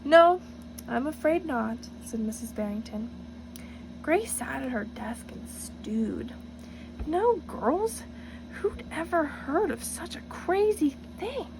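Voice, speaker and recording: A woman reading a storybook aloud in an expressive, teacherly way, speaking directly to young listeners and asking them questions. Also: sits high, takes it slowly, creaky, background noise. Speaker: reader for a children's read-aloud.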